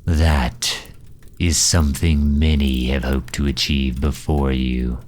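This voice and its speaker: A man playing a grave, haughty dark knight who absorbs souls, speaking formally and deliberately with a deep, breathy, husky voice in an audio roleplay. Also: fairly even intonation. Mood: brooding, solemn. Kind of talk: slow dramatic audio roleplay monologue.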